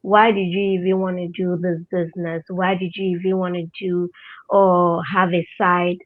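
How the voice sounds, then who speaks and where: A woman's voice: lowish voice, level tone, normal speed, phone-quality sound. A woman from Ghana joining a panel discussion, speaking earnestly and reflectively and repeating words as she thinks. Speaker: panel guest.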